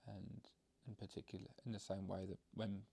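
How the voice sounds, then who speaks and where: A man leading a guided meditation, explaining it gently in halting, unscripted phrases. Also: fairly low pitch, little pitch movement, creaky, slightly rough. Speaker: meditation teacher.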